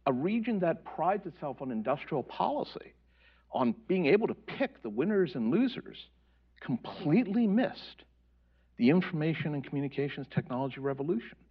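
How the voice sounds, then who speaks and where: A man speaking as a panelist in a policy discussion, explaining his points in an animated way. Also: medium pitch, breathy edge. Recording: dull lo-fi audio.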